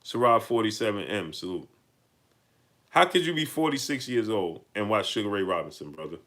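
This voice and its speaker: A man's voice: on the low side, sing-song, a little fast, fairly bright tone. Emphatic New York man hosting a livestream. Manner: emphatic, repeats lines, rises to heated peaks. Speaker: livestream host. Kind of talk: live-stream chat, reading super chats.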